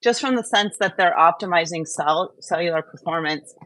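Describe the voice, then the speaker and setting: Female host, slightly low voice, dry close sound, informal conversational delivery. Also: moderate pace, fairly bright tone, dry recording. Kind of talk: casual chat.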